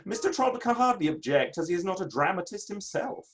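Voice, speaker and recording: A man's voice: high voice, very animated, fast. A man acting a vain, swooping Victorian playwright. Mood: defensive, haughty. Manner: pompous, theatrical, self-justifying. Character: vain, self-satisfied Victorian playwright and novelist, male. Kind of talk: dramatic play reading. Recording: online reading from home setup.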